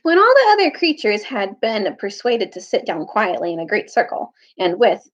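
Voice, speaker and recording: A woman's voice: medium pitch, sing-song, moderate pace. A woman reading a children's novel aloud as a storyteller, expressively, now and then stumbling and rereading a line. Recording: dull lo-fi audio.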